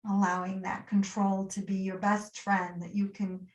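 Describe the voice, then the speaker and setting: A woman with a mid-range voice guides a relaxation practice in a roomy, echoing space. She speaks as a yoga teacher would, soothing and gently instructive, leaving pauses between short, unscripted phrases. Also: mid-range voice, little pitch movement, recorded from a distance. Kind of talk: guided meditation, spoken live and unscripted.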